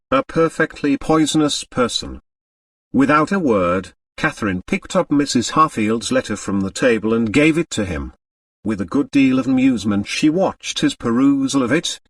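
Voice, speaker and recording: A man narrating an audiobook in an expressive, scripted storytelling style. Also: mid-range voice, no room sound, recorded from a distance.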